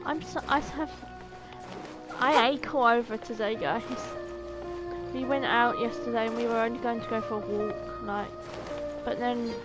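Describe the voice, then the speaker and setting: High-voiced, playful woman streamer. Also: steady tone, measured pace. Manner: playful, rambling. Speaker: game streamer. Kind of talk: casual livestream chat. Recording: loud music behind the voice.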